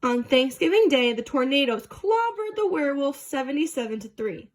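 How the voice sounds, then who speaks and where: A woman's voice: sits high, sing-song, moderate pace. A woman reading a children's storybook aloud as a narrator.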